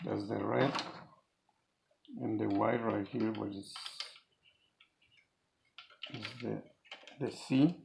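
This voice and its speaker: Very creaky-voiced man giving a halting DIY demonstration. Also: fairly low pitch, fairly even intonation. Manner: halting, repeats words while working. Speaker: DIY tutorial presenter. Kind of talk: hands-on how-to demonstration, unscripted. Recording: clear background noise with clicks.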